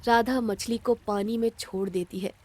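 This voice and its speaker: A woman's voice: on the high side, steady tone, normal speed, darkish tone. A woman narrator reading a scripted moral story.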